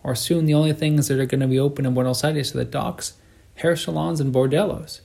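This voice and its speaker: A man's voice: medium pitch, fairly even intonation, brisk, creaky, a little mellow, voice pushed up, natural voice. A man reading as a chatty, nosy male cab driver with a sing-song voice. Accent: northern provinces sing-song. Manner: sing-song, gabby, slangy.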